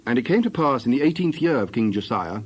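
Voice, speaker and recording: A man's voice: mid-range voice, big pitch swings, quick pace, slight background noise. A man giving a scripted narration of scripture as the narrator of a dramatized reading.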